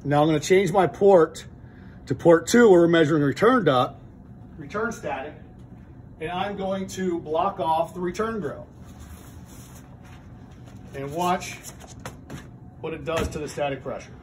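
Middle-aged guy showing how to test a furnace, talks kinda high, steady step-by-step, faint hum in the room. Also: a little slow, long pauses. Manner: plain, methodical explaining with frequent restarts. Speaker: HVAC trainer. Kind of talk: hands-on technical demonstration. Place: indoor training room with a furnace.

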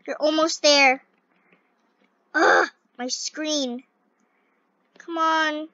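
A young girl with a high, bright and slightly scratchy voice hosts a gaming video. She reads on-screen dialogue haltingly and reacts excitably, at a slow pace. The recording is close but dull. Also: no room sound. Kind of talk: gameplay commentary, reading on-screen dialogue aloud.

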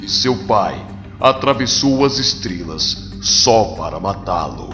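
A man narrating a comic story with dramatic, solemn, measured scripted delivery. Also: lowish voice, animated. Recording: long reverb over music.

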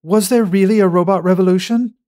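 A man's voice: sits high, fairly even intonation, brisk, warm tone, voice pushed up, lightly acted. A man voicing a studious, curious young girl in an audiobook story, with an earnest, simple manner.

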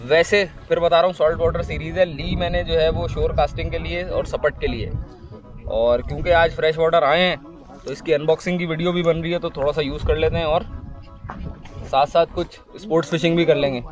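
A man in his thirties gives a relaxed, chatty fishing-gear review outdoors on a lakeshore. His voice sits a little high for a man and is picked up by a camera a few feet away. Music plays clearly underneath. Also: fairly quick, rough recording. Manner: relaxed, chatty, talks while handling gear. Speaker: fishing vlogger. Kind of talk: casual outdoor product review. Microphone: camera on a tripod a few feet away.

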